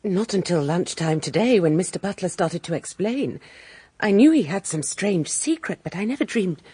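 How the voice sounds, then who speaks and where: An actress in a radio detective drama playing an anxious but stubborn married woman, earnest and dramatic, with a husky, breathy voice. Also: fairly low pitch, lively intonation, normal speed, dark tone, dry recording. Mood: uneasy.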